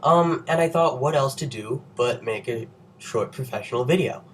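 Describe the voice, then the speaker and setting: A man's voice: medium pitch, normal speed, slightly rough. Congested young man explaining science. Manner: wry, self-joking, nerdy. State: under the weather with a cold. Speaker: presenter of an educational video.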